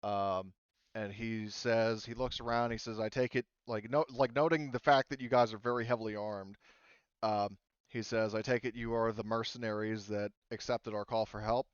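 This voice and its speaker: A man casually narrating a tabletop roleplaying game as game master, speaking haltingly as he searches for words. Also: fairly low pitch, fairly even intonation, clear, resonant tone, dry recording, lo-fi sound.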